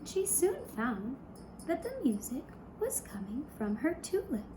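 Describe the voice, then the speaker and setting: A woman narrating a fairy tale for a puppet show in a gentle, hushed, deliberate storytelling manner. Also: high-pitched, big pitch swings, reverberant, noisy background. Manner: slow, hushed, deliberate storytelling in short phrases.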